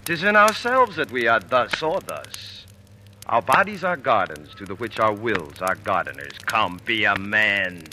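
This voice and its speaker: An actor playing a two-faced military ensign, a sly, insistent villain, in old radio Shakespeare style. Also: male voice, fairly low pitch, sing-song, measured pace, pushed and bright, slight background noise, exaggerated voice. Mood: sly goading. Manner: sly, insistent, theatrical cajoling. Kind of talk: 1940s radio Shakespeare acting. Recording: old radio broadcast with music.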